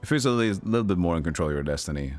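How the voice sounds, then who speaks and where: A man's voice: low voice, expressive, rapid-fire, music in the background. A man giving casual live commentary as a game streamer, musing in a rambling way.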